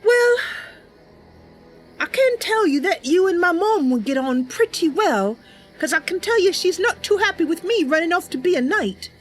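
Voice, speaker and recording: A woman's voice: extremely high pitch, sing-song, normal speed, soft background music, low-quality recording. A bubbly, chatty woman livestreamer talking casually and improvising roleplay as she plays a game. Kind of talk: casual live stream chat with improvised roleplay.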